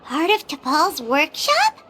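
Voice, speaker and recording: Game fairy sidekick, female: very high, childlike, excitable, wildly animated delivery. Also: slow pace, background noise, exaggerated voice. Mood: excited. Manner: refers to self in third person, exclamatory. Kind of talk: video game character dialogue. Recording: game music behind the voice. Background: loud game music.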